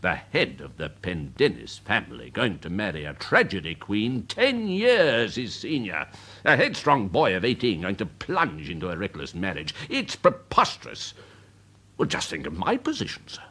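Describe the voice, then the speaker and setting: A pompous older English major for a radio play. The voice is low but swoops dramatically up and down, upper-class, fussy and snobbish, full of theatrical bluster. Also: male voice, wildly swooping pitch, normal speed, sharp tone, husky, full character voice. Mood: vexed, blustering. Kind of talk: British radio drama acting.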